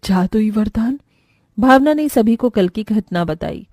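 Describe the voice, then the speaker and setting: A woman's voice: fairly high pitch, animated, normal speed, a little mellow, dry recording, studio quality. A woman narrating a scripted children's story.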